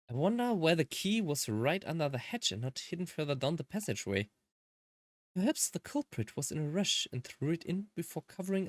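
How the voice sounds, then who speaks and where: A woman's voice: sits low, no echo. A woman doing game commentary, switching between dramatic reading and muttered asides. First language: German. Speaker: let's player. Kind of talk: game commentary with dramatic read-aloud of visual novel lines. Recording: music behind the voice. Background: game music.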